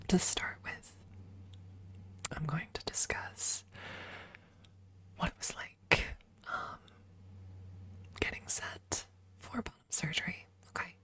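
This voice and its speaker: A woman's voice: deep voice, slow pace, pauses often, pushed and bright. A woman streamer chatting casually and informally in an ASMR livestream, thinking aloud close to the microphone. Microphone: close microphone adjusted for ASMR.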